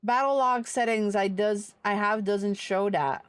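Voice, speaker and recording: A woman's voice: medium pitch, a little slow, clear, resonant tone, no room sound. A woman giving casual, playful commentary on a gaming livestream.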